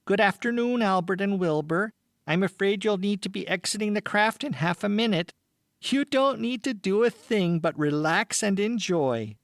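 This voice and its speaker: A man's voice: high-pitched, expressive, moderate pace, echoey room. A man voicing a cheerful, theatrical space travel attendant with a courteous manner in an audiobook. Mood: courteous, soothing. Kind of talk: audiobook character voice.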